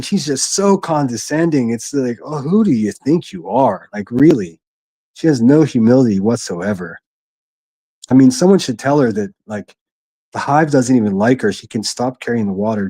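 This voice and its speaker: A man with a gruff voice hosting a solo livestream from his quiet living room, reading chat and speaking bluntly with frequent restarts. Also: medium pitch, no echo. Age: adult, a military veteran. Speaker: livestream host.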